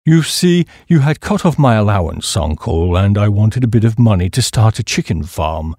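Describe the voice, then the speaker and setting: A man's voice: fairly low pitch, animated, normal speed, a little mellow, no room sound, clean studio sound, voice pushed down. A man voicing a sheepish young English nephew. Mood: nervously explaining.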